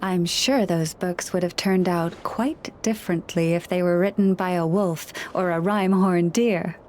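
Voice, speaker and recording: A woman voicing a gentle, wise woman in a video game who speaks with animals, talking in a calm, measured, softly reflective way. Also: on the low side, slight background noise, natural voice.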